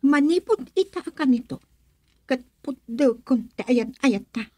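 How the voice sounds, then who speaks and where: High-voiced, headstrong young Filipino woman. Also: animated, unhurried, warm tone, no room sound. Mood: coldly resolute. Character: practical, blunt young daughter leaving her sweetheart for money. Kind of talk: Ilocano radio drama acting.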